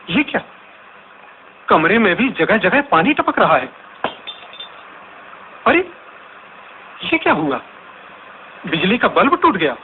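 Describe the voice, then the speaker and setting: Worried indian guy at home in a radio play, talking to himself, highish voice, tinny noisy recording. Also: exaggerated voice. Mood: startled, dismayed. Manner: theatrical, musing aloud to himself. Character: worried ordinary man at home hearing the news. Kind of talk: radio drama soliloquy.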